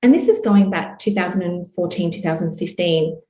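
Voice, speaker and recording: A woman's voice: lowish voice, normal speed, mellow. Chatty Australian woman in a roomy space. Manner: chatty, upbeat, many fillers like "you know" and "like". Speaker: podcast guest, entrepreneur. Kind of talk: casual interview storytelling. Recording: roomy echo.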